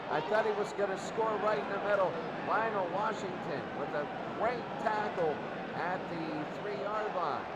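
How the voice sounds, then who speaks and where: A man doing live play-by-play commentary of an American football game in short clipped phrases, excitable on big plays. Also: sits high, unhurried, heavy background noise.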